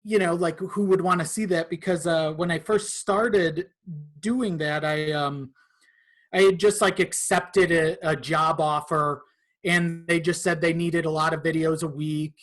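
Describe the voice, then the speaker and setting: A man chatting casually as a podcast guest, in a halting, amused manner. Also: a little high, fairly even intonation, fairly bright tone. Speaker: podcast guest, video editor. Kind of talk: casual chat.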